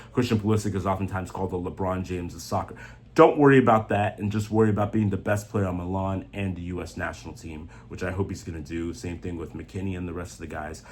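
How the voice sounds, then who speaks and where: Male sports commentator, slightly deep and raspy, unscripted, opinionated, emphatic with repeated phrases. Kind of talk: opinionated off-the-cuff soccer commentary.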